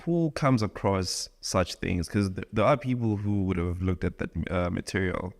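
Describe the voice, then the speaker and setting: Male musician guest from South Africa, deep voice, laid-back slangy delivery. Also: normal speed, creaky. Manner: relaxed, informal, uses slang. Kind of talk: casual interview chat.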